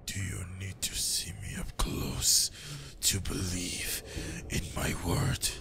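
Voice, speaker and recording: A man playing a menacing, grieving vampire lord in an ASMR roleplay, half-whispering in a breathy, deliberate voice. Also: low voice, heavily acted. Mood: cold menace. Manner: slow, deliberate, threatening. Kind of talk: improvised ASMR roleplay, whispered, addressed to the listener. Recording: loud steady background ambience noise.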